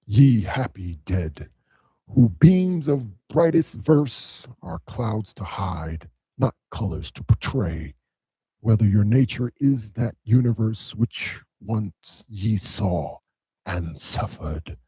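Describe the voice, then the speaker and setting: A man's voice: animated, dark tone, dry recording, exaggerated voice. A man voicing Demogorgon, a vast primal power, in a solemn dramatic verse reading. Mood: grave. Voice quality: deep, husky, slow and solemn.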